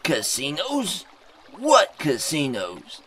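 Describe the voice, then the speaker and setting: A man's voice: a little high, huge pitch swings, takes it slowly, fairly bright tone, slight background noise, heavily acted. A man voicing a goofy, drawling cartoon animal sidekick in an amateur radio drama. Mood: playful.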